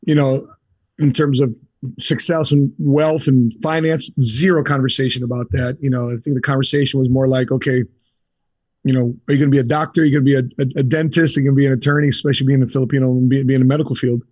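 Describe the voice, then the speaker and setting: A man's voice: mid-range voice, steady tone, fast, a little mellow, husky, dry recording. A man being interviewed on a podcast, telling his story steadily in short punchy phrases. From United States. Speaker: guest. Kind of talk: casual interview.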